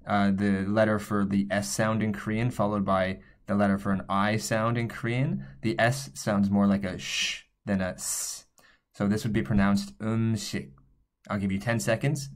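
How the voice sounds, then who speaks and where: A man teaching a recorded language lesson, explaining patiently and thinking aloud with small fillers as he writes. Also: lowish voice.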